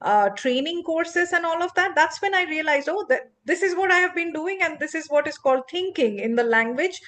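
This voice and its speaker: A woman whose first language is not English, hosting a podcast and explaining English fluency in an expressive, emphatic teacherly way. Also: very high-pitched, brisk. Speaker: podcast host and English coach. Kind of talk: instructive podcast conversation.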